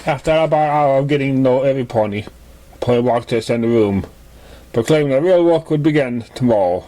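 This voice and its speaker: A man's voice: medium pitch, a little fast, warm tone, poor audio. A man reading a fan fiction story aloud as an amateur narrator, stumbling over words and rereading lines. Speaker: amateur audiobook reader.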